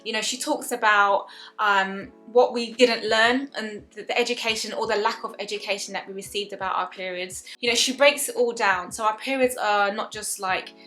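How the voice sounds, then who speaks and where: Bright-voiced woman around thirty. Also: mid-range voice, steady tone, moderate pace, soft background music. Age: about 30. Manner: chatty, earnest, many restarts and fillers. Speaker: wellness vlogger. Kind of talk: casual talk to camera.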